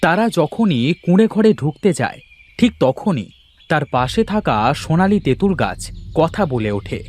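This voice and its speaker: A man's voice: on the high side, very animated, normal speed, a little mellow. A man reading scripted storytelling narration for a cartoon fairy tale.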